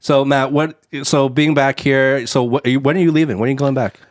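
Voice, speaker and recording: A mid-pitched man from the United States hosts a casual podcast, speaking candidly with loose, rambling sentences and the odd swear word. The sound is dry and close. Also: fairly even intonation, a little fast, no room sound. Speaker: podcast host.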